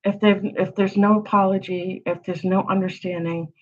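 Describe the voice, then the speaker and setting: A woman's voice: mid-range voice, flat delivery, moderate pace. A woman hosting an astrology channel, giving a casual, rambling forecast full of rhetorical questions. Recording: slightly dull lo-fi audio.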